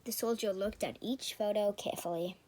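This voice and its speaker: A woman's voice: medium pitch, a little fast, mellow. A woman reading scripted story narration for a puppet show, in a slightly noisy home recording.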